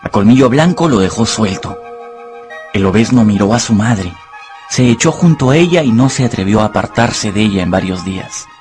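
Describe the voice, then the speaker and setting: A man's voice: lowish voice, expressive, moderate pace. A man narrating a dramatised story for radio from a script. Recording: music under the voice.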